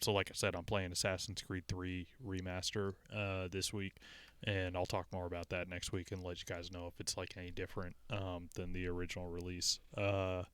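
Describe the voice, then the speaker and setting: A man's voice: sits low, pushed and bright, smooth. A man co-hosting a podcast, chatting casually about video games in a rambling way. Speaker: podcast co-host. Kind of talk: casual gaming chat.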